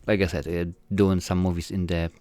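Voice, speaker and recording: A man's voice: deep voice, little pitch movement, brisk, darkish tone, dry recording. A man in his forties from Poland with a Polish accent, a filmmaker answering interview questions in a hesitant way, with many fillers and restarts. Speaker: filmmaker guest.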